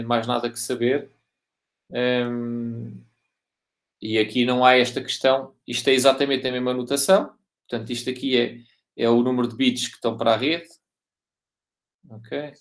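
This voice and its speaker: A man's voice: medium pitch, steady tone. Male lecturer, European Portuguese accent, mid-range voice, informal technical teaching with restarts and frequent checks for understanding. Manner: halting, repeats and rephrases, checks understanding often. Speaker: university lecturer.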